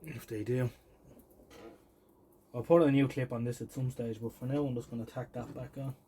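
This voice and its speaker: An Irish man with a medium-pitched voice talks casually as he works with his hands. He is unhurried and half mutters, thinking aloud like a hobbyist. The phone recording carries clearly audible noise. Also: steady tone, mellow. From Ireland. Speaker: vintage electronics hobbyist presenting. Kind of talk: casual hands-on commentary while tinkering.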